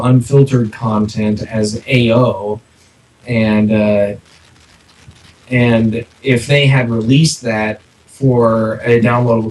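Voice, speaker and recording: Male podcast co-host, unscripted and hesitant, mid-pitched, recorded echoey and distant. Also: a little mellow. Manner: halting, repeats words while thinking aloud. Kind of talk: casual, unscripted discussion. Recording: echoey, distant mic, background noise.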